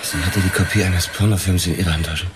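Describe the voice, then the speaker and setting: An actor playing a matter-of-fact police officer making a report in a radio drama. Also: male voice, low voice, steady tone, quick pace, close to an everyday voice. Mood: businesslike. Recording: clear background noise.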